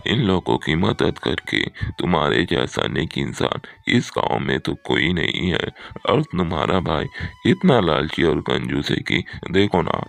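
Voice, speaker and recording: A man's voice: deep voice, vocal fry, a bit bright, exaggerated voice. A man playing a wise, benevolent older village headman in a cartoon story, with a fatherly manner. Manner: fatherly, approving. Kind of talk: Hindi cartoon moral-story acting.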